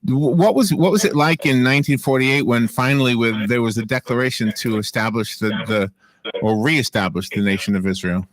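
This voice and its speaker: Male radio host, even pitch, stumbling questions. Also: brisk. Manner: stumbling, restarts often. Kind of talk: radio interview.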